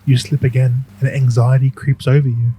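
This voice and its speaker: A man's voice: medium pitch, level tone, normal speed, background noise. A man narrating a scripted audio drama in the second person, telling the listener what they do and feel. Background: music.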